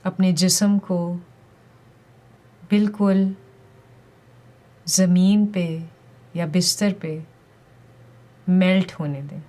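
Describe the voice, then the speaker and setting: A woman leading a guided meditation with calm, gentle, deliberate instructions and pauses between phrases. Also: fairly low pitch.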